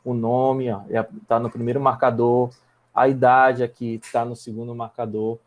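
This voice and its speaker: A man's voice: mid-range voice, level tone, moderate pace. A Brazilian man teaching a programming class online, explaining step by step and checking in with his students often. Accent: Brazilian Portuguese. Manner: repeats and restarts words while typing. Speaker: programming instructor. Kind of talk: live online coding lesson.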